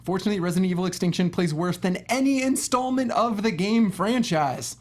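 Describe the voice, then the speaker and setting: A man talks very quickly as a co-host on a film review show. His delivery is casual and conversational, with a wry, sarcastic streak. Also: high-pitched, lively intonation. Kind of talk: casual movie review chat.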